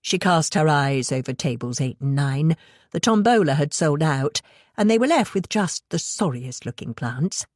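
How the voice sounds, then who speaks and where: British female audiobook narrator, low register, scripted novel reading, very dry close sound. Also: lively intonation, normal speed, darkish tone, no echo.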